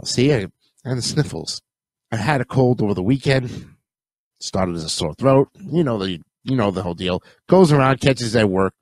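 A man's voice: fairly low pitch, dry recording. A young man, hosting a casual solo podcast, sounding nasal from a cold and rambling chattily with self-corrections as he goes. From Queens, New York. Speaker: podcast host.

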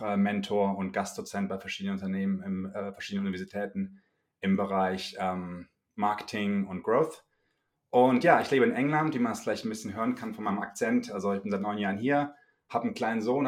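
A man from Germany, a startup marketer and co-founder, introducing himself hesitantly with many filler sounds. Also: on the low side. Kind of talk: podcast self-introduction.

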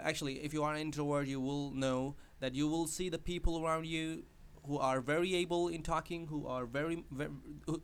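A man's voice: on the high side, clean tone. A man who speaks English as a second language, hosting a podcast and giving advice in a hesitant way, with many fillers and restarts. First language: not English. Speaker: podcast host. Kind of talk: casual spoken advice monologue.

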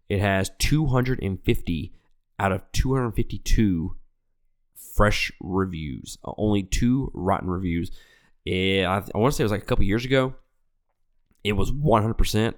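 A man hosting a movie review podcast in a loose, rambling way, sounding nasal and congested from an illness. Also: deep voice. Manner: rambling, self-correcting, frequent restarts; loose, profane, conversational. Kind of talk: casual chat.

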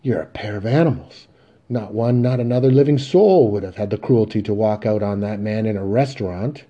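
A man's voice: medium pitch, moderate pace, husky, lo-fi sound, pitched above the performer's own voice, natural voice. A man voicing a furious, wounded mother who scolds her grown sons in an emphatic, commanding way.

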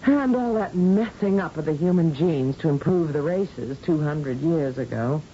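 An actress playing an anxious yet wry astronaut wife of the far future in an old-time radio drama. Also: female voice, deep voice, lively intonation, measured pace, warm tone. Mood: worried. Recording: dull, noisy old radio recording.

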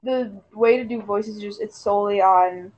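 A woman's voice: mid-range voice, moderate pace, a little mellow, low-quality recording. A woman in a casual online group chat who bluntly orders the others around, swears, and laughs a lot.

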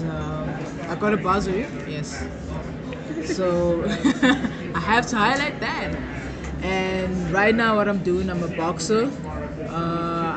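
A young woman from South Africa who grew up in Zimbabwe, chatting casually as an interview guest, laughing often and tagging "hey" onto her sentences. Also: fairly low pitch. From born in South Africa, grew up in Zimbabwe. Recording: busy crowd noise behind.

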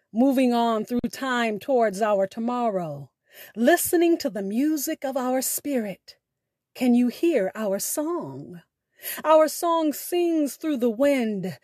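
Slow, high-voiced woman hosting a podcast. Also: no room sound, studio quality. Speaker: podcast host. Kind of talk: scripted podcast sign-off and poetry recitation.

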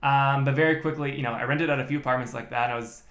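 A man's voice: medium pitch, fairly even intonation, fast, fairly bright tone. A man, a podcast guest telling his story in a methodical way with many restarts. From United States. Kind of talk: casual interview chat. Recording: echoey room.

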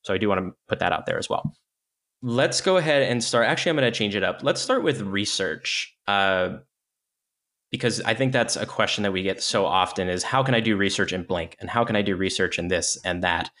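A man hosting a university podcast, introducing guests and explaining things in a friendly, steady way. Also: fairly low pitch, a little fast. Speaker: podcast host. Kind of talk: hosted university podcast talk.

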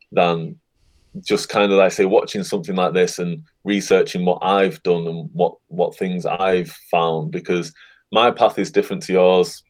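A man being interviewed as a guest on a podcast, talking in a relaxed, encouraging way. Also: low voice. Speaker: guest, affiliate marketer. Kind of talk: casual interview chat.

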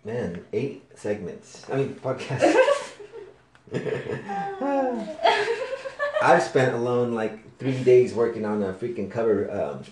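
Man, non-native English speaker, mid-pitched, laid-back podcast co-host, slangy, echoey room with some noise. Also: wildly swooping pitch, takes it slowly. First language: not English. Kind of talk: casual chat on a podcast.

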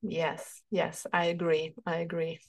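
A woman's voice: lowish voice, completely flat delivery, measured pace, vocal fry. A woman from Germany with a German accent, a holistic therapist and coach talking in a podcast interview, thoughtful and hesitant with many fillers.

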